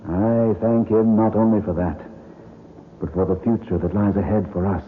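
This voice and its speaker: An actor playing a steadfast, tender husband and gentleman hero in an earnest old radio mystery style. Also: fairly low pitch, steady tone, moderate pace, lightly acted. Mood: tender resolve. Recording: narrow-band, noisy vintage radio.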